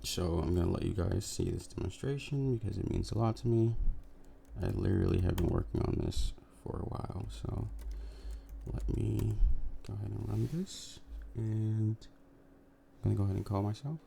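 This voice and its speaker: A man who works as a software developer, talking casually to viewers as he gives a coding demo. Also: on the low side, moderate pace, gravelly, noisy background. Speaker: software developer making a video.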